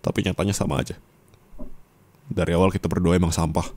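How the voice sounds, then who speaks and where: A man's voice: deep voice, fairly even intonation, fast talker, darkish tone. A young man playing an angry, hurt boyfriend in an ASMR roleplay, confronting his partner and demanding answers. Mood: bitter.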